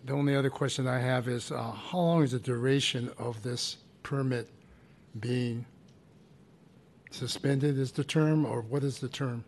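Male chairperson, gravelly and flat, brisk. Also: mid-range voice. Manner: brisk, procedural. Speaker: commission president chairing. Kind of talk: chairing a public meeting.

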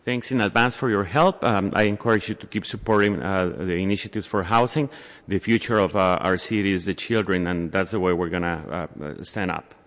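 A man interpreting and speaking formally and deliberately at a public hearing. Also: deep voice, fairly bright tone. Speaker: interpreter and community advocate. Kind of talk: consecutive interpreting and public comment. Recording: echoey hall, long reverb.

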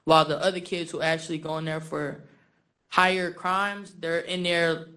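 A young woman from the Philippines and San Francisco giving informal personal testimony in a casual, halting way with lots of "like". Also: low-pitched, flat delivery, fairly bright tone. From born in the Philippines, raised in San Francisco from age eight. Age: young adult.

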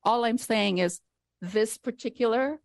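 A woman from Afghanistan giving emotional public comment at a city council, pleading with halting restarts. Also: a little high, dry recording. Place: council chamber.

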